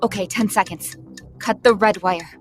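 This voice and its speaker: A woman's voice: mid-range voice, normal speed, music in the background, natural voice. A woman voice actor performing acted demo reads for voice-over work.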